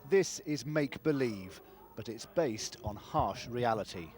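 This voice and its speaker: A man's voice: mid-range voice, lively intonation, unhurried. A man narrating a scripted television news report.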